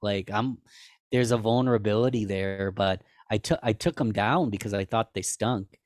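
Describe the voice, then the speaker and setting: A man's voice: normal speed, dry recording, studio quality. A man guest on a podcast, talking candidly and self-deprecatingly in casual chat, rambling with restarts. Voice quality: higher-pitched for a man.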